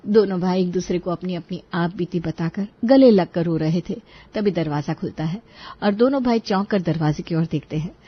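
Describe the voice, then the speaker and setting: A woman's voice: fairly low pitch, normal speed, slightly rough, muffled recording. A woman reading scripted narration for a Hindi family cartoon story.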